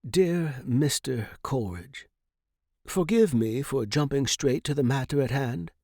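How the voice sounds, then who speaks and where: A man's voice: mid-range voice, measured pace, mellow, dry recording, studio quality. A man voicing a stern, pompous Victorian academic mentor who speaks formally and admonishingly, as in an audiobook. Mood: stern disapproval.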